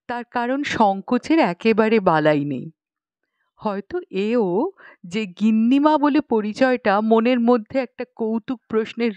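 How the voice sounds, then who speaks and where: A woman's voice: a little high, a little mellow, no room sound, highs cut off. A woman reading a story as an audiobook narrator, in scripted narration.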